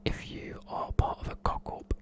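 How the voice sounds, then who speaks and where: British accent, fully whispered ASMR delivery, informal and matey, chatty explainer. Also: normal speed. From United Kingdom. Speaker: presenter explaining slang.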